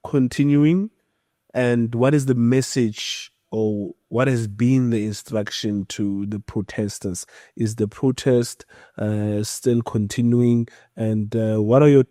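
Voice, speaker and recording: A man's voice: medium pitch, mellow, no room sound. Male podcast host, mid-range voice, interviewing a guest, close dry recording. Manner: affirming with frequent mm-hmms, laughs lightly. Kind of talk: interviewer asking questions.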